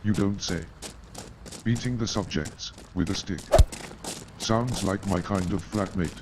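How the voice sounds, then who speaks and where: An actor playing a weary, wry, sardonic army doctor in a staged radio play, speaking formally. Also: male voice, fairly low pitch, unhurried, noisy background, close to an everyday voice.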